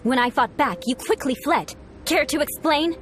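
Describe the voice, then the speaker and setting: A woman's voice: high voice, moderate pace, lightly acted. A woman voicing a haughty, forthright young knight in a video game, formal, imperious and sternly suspicious. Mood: accusing. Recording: faint music behind the voice.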